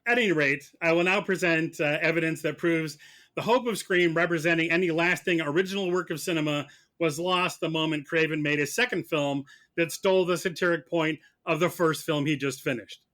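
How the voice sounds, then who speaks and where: A man playing prosecutor in a mock trial, arguing in a sarcastic, halting, conversational way. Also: on the high side, fairly even intonation, fairly bright tone. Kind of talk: mock courtroom argument, conversational.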